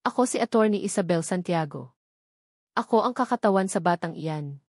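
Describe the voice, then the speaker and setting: A woman's voice: fairly low pitch, lively intonation, normal speed, no room sound, studio quality. A woman voicing a determined, caring female lawyer in a narrated story, firm and composed.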